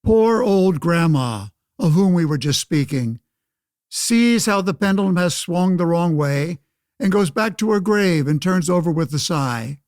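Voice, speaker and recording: An actor playing a blustering, pompous old-time senator in a radio play, declaiming theatrically like a stump orator. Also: male voice, high voice, lively intonation, unhurried, a little mellow, no echo, studio quality. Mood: pompous scorn. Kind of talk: old-fashioned political oratory, pseudo-radio play acting.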